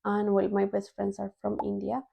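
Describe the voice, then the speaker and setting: A woman's voice: mid-range voice, monotone, moderate pace, vocal fry, warm tone, slightly rough. A young adult woman from Colombia, a native Spanish speaker with a Colombian accent in English, telling her own story casually to viewers as a vlogger. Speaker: language-learning vlogger.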